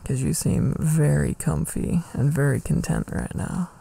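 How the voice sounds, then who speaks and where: Soft boyfriend asmr guy, slow and creaky low murmur, whispery, super soothing n affectionate. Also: fairly even intonation. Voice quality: breathy, soft, often whispering. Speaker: ASMR roleplay performer. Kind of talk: intimate boyfriend ASMR roleplay. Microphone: close microphone.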